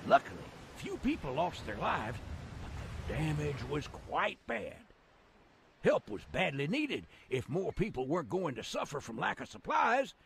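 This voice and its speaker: An actor voicing a folksy, excitable old-timer railroad guide in a video game, with a rural American accent, a creaky voice and a colloquial, theatrical manner. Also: male voice, on the high side, normal speed, noisy background. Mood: folksy storytelling.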